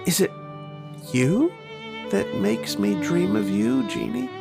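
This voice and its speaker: A man's voice: fairly low pitch, huge pitch swings, slow pace, heavily acted. A man playing a haunted, lovesick, war-weary soldier in an old-time radio horror drama, with a brooding, dramatic delivery. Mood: tender, yearning. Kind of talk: old-time radio horror acting, first-person narration and dialogue. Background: violin music.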